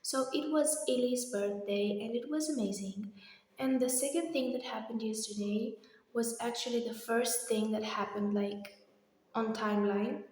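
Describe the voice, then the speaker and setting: A young woman vlogger, slightly hoarse, chatting casually and hesitantly in a rambling personal update recorded in an echoey room. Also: on the high side, steady tone, normal speed, a little mellow. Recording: very echoey, reverberant empty room.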